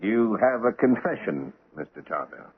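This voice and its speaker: A blunt cynical cop, lowish voice, pressing, tinny old radio sound. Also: male voice, very animated, moderate pace, slightly rough. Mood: skeptical. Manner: skeptical, pressing. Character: blunt, cynical male police lieutenant.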